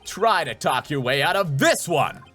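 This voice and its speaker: A man voicing an earnest, excitable young male defense attorney in a video game dub, with emphatic theatrical delivery. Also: on the high side, moderate pace, husky, soft background music, heavily acted. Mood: confident, pressing.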